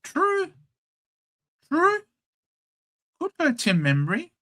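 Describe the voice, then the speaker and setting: A man's voice: very high-pitched, huge pitch swings, very slow, sharp tone. An Australian man hosting a casual livestream, chatting, thinking aloud and reading out viewers' names. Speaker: livestream host.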